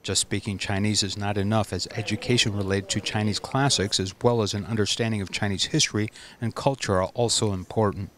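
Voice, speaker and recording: A man reading a scripted news voice-over in a measured, even way. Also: fairly low pitch. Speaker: news narrator.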